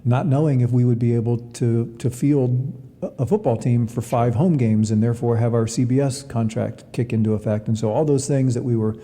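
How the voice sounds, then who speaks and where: Male interviewee, sports administrator type, earnest and explanatory. Very soft, dark tone, recorded with long hall reverb. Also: medium pitch, fairly even intonation, a little fast. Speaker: athletics director being interviewed. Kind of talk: reflective interview answers.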